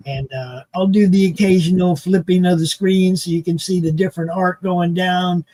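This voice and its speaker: A man of about sixty who produces a live stream, joking loosely and talking in quick bursts. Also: high voice, fairly even intonation, warm tone, no echo, low-quality recording. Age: 60s. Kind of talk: casual chat on a live stream.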